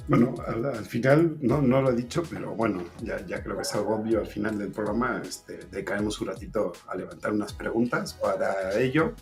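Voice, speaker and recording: A man hosting an online interview show, speaking in a halting way with frequent fillers. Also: on the low side, vocal fry, soft background music. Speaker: host.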